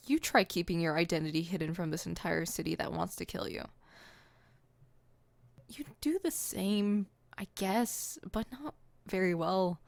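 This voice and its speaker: A woman's voice: fairly low pitch, gravelly, no echo. A woman voicing an exasperated, proud but secretly caring supervillain in an ASMR roleplay, with an indignant, wordy, dry delivery.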